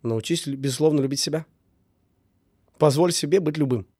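Fast-talking man, emphatic and mellow. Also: on the high side, slightly rough, no room sound, clean studio sound. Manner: emphatic, repeats phrases in lists. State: getting over a cold. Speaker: interview guest. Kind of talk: casual reflective talk on relationships.

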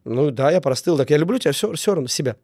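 A man getting over a cold talks quickly and earnestly in a casual interview. His voice sits fairly high but sounds mellow, and the recording is dry and close. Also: animated, dry recording. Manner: earnest, repeats key words, many fillers. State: recovering from a cold. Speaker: business owner, guest.

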